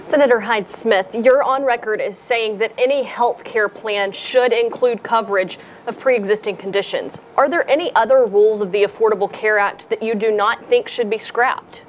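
A woman moderating a debate, giving brisk, short prompts and timing the speakers. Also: on the high side, slight background noise.

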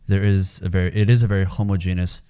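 A man's voice: deep voice, level tone, fast, warm tone, smooth. A young man hosting a podcast, asking questions hesitantly into a close studio microphone, often repeating words. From South Korea. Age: young adult. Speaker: podcast host. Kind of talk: interview questions. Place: home room.

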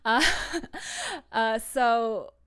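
Young woman streaming, casual chatty explainer, smooth clean voice, kinda giggly. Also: a little high, very animated, extremely slow, fairly bright tone. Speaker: streamer and audio drama producer. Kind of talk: casual chat, explaining her work process.

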